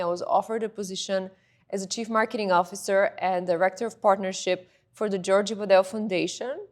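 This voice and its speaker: A woman's voice: mid-range voice, darkish tone. A woman, a guest in an interview, telling her story in a chatty, rambling way. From Bulgaria.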